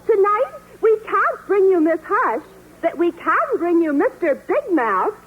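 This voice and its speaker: A woman who is a regular on a 1940s radio comedy show, delivering deadpan, naive quips. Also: very high voice, measured pace. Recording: tinny old radio broadcast.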